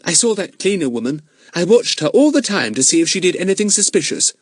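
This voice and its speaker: A man's voice: high voice, sing-song, fast, no echo, studio quality, voice pushed up. Man doing a sulky, bossy little girl. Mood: haughty. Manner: sulky, complaining. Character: haughty, bossy young girl.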